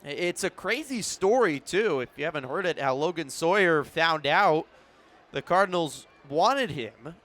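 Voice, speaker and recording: An American man doing sports play-by-play commentary, measured between plays and rising to excited calls. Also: on the high side.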